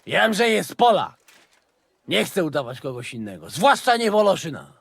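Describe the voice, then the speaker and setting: A man's voice: high voice, very animated, brisk, creaky, fairly bright tone, husky, no echo, full character voice. An actor voicing a surly, stubborn Polish man in a video game, grumbling with contempt.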